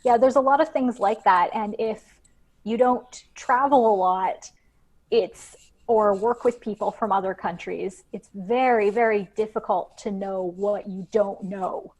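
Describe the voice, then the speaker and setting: A woman's voice: medium pitch, steady tone, measured pace, poor audio. A woman guest on a podcast interview, explaining her research in a thoughtful, conversational way with frequent fillers.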